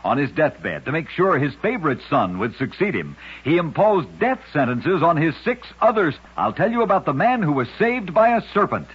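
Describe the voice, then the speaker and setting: A man's voice: fairly high pitch, very animated, normal speed, few pauses, husky. A man reading scripted short stories for a radio show with an emphatic, dramatic storytelling delivery. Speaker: radio narrator of oddity stories. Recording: dull lo-fi old radio recording.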